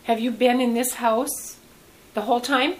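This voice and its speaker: A woman librarian conducts an oral history interview, her voice a touch higher than average. She asks short, attentive questions and answers with quick affirmations. Faint room ambience sits behind her. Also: fairly even intonation, slight background noise, rough recording.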